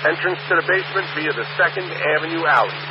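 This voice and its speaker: A man's voice: on the high side, animated, fast talker. Man, New York fire dispatcher, clipped methodical radio calls, muffled radio with static.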